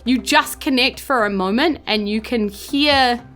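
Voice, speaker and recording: A woman with a New Zealand accent running a tabletop game, improvising her descriptions in a halting, conversational way. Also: a little high, fairly bright tone, faint music behind. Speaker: game master of a tabletop game podcast.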